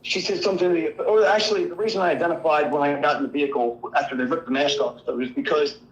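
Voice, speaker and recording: A man calling in to a talk show, hoarse from illness, rambling through an indignant account of his run-in with the law. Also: on the high side, steady tone, fast, muffled recording. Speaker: caller. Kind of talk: call-in show chat.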